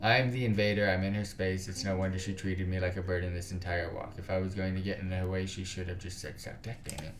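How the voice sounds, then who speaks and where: A man's voice: low-pitched, flat delivery, fast, hardly any pauses, a little hiss or hum. A man reading a visual novel aloud for a gaming video, narrating the story and dropping into casual, slangy, sometimes sweary asides. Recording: roomy echo, music behind. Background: game music.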